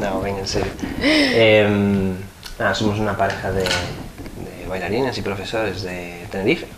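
A man's voice: low voice, very animated, unhurried. A man from Madrid with a Castilian Spanish accent, chatting casually and playfully as a dance teacher being interviewed. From Madrid, Spain. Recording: clear background noise.

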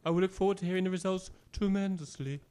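An actor playing a genteel, effusive village vicar with an English accent, over-polite and fawning, in a comic audio drama. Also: male voice, sits high, quick pace, dark tone, close to an everyday voice. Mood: cheery.

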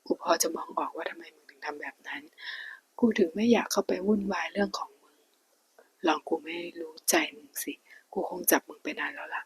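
A woman voicing a blunt, teasing young male police officer in a soft, husky whisper. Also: on the high side, fairly quick, poor audio. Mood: lecturing. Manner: soft whispered delivery, crude banter.

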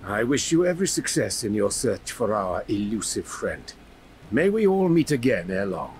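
An actor playing a solemn, courtly mage who speaks in an archaic manner. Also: male voice, on the low side, big pitch swings, normal speed, a little mellow, a little hiss or hum. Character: courtly, solemn adult male fantasy mage.

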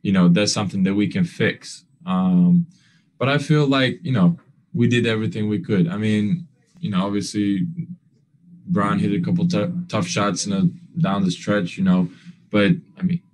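A young man answers reporters over a video call, his voice sitting fairly low. He talks earnestly but haltingly, stacking fillers and restarting phrases, and his grammar slips here and there. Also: steady tone, a little mellow. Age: young adult. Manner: earnest, non-standard grammar. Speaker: basketball player. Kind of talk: postgame press conference answers.

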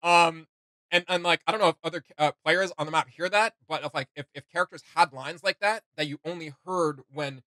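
A man's voice: a little high, brisk, sharp tone, dry recording, low-quality recording. A young man hosting a reaction video, chatting casually and giving his opinions. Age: young adult. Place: small indoor room with gaming setup.

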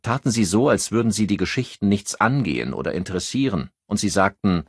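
An insistent police inspector, played by a male audiobook narrator, with a husky voice. He presses sharply and irritably, swinging widely in pitch as a crime-novel investigator. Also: expressive, fairly quick, no room sound, clean studio sound. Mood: indignant.

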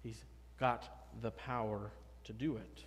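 A man's voice: lowish voice, steady tone, slow pace. A man in his thirties, a pastor preaching a conversational, emphatic sermon from a pulpit. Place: church sanctuary, at a pulpit. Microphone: pulpit microphone, far camera. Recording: long church reverb.

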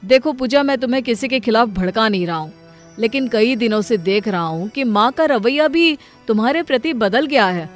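A woman's voice: fairly high pitch, expressive, moderate pace, faint music behind, close to an everyday voice. Woman voicing a concerned, reproachful young husband. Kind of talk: animated Hindi family story dialogue.

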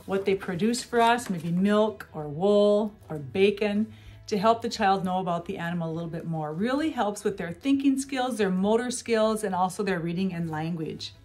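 A middle-aged woman demonstrating educational toys at a table in a small room, explaining each step clearly and cheerfully, with faint music behind her. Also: mid-range voice, normal speed, darkish tone. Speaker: toy seller demonstrating products. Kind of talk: product demonstration.

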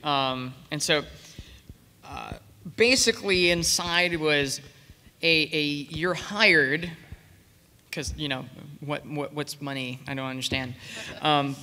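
A man telling a casual, jokey anecdote as a panelist, in a halting way. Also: on the high side, sharp tone. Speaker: convention panelist, amateur voice actor. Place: large convention room with audience. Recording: echoey hall, noisy public-address pickup.

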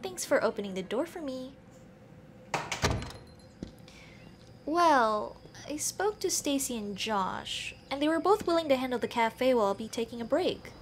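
A woman's voice: high-pitched, animated, normal speed, hardly any pauses, background noise. A young woman playing an affectionate, playfully bossy café-owner girlfriend in an ASMR roleplay, speaking expressively to the listener as her partner. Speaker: roleplay voice actor.

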